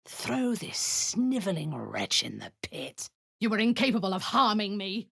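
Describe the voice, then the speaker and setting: An actress voicing a cold, imperious woman warrior-commander and zealous cultist in a video game, speaking in a low, husky, half-whispered menace. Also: big pitch swings, a little slow, a bit bright, no echo, heavily acted. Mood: commanding. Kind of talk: video game character acting.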